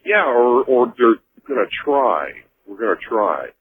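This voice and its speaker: Animated American man on tinny phone line. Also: medium pitch, a little slow, slight background noise. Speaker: caller. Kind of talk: casual phone call to a radio show. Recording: thin phone line on radio.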